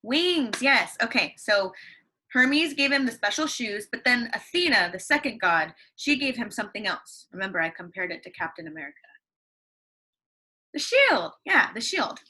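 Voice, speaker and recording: Upbeat high-voiced teacher quizzing kids. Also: female voice, very animated, normal speed, bright, forceful tone, slightly rough, low-quality recording. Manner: upbeat, asks questions and answers them herself. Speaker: elementary school teacher. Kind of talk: read-along lesson for young students at home. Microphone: computer microphone.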